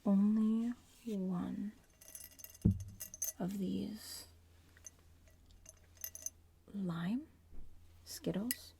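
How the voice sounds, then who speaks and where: A woman plays a young witch in training, smug and a little disdainful, in an ASMR roleplay. She drifts between whispers and soft murmurs at a very leisurely pace, with a gravelly fry at the edges. The mic is close and picks up clear background noise. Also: fairly even intonation, very slow, long pauses. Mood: focused, murmuring. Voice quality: soft, breathy whispering mixed with low murmur. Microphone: close ASMR microphone.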